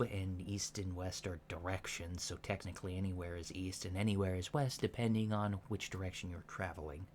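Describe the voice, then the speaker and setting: A man as a soft, slow-talking alien instructor. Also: on the low side, close to an everyday voice. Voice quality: soft, hushed voice. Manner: deliberate, deadpan, instructional. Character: soft-spoken alien instructor in human disguise, earnest and matter-of-fact. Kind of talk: soft-spoken ASMR roleplay lecture. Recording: lo-fi with steady background noise.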